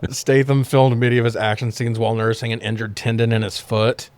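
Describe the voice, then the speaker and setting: A man's voice: mid-range voice, level tone, fairly quick, darkish tone, smooth, no room sound. A man co-hosting a podcast, sharing movie trivia in a jokey, conversational, sometimes sweary way. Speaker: podcast co-host. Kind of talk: casual film-trivia chat, partly reading facts.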